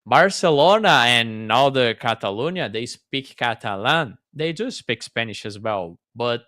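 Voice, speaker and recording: A man's voice: medium pitch, lively intonation, measured pace, a bit bright, no echo, clean studio sound. A man hosting a casual interview chat, talking in an enthusiastic, question-asking way. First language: Portuguese. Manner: chatty, enthusiastic, asks questions. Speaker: host.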